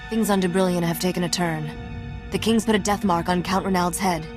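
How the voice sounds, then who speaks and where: Woman playing sly teasing smuggler girl with royal blood, lowish voice, sarcastic, video game dialogue. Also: steady tone, brisk, over music, lightly acted. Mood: serious, wry. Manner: wry, teasing, self-assured.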